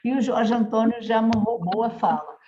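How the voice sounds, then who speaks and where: Woman w/ european portuguese accent, mozambique-born, kinda high voice, stops n corrects herself, lo-fi call sound. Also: moderate pace, warm tone. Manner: hesitant, with fillers and self-corrections. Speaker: panel speaker. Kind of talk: online panel remarks. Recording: dull lo-fi video call audio.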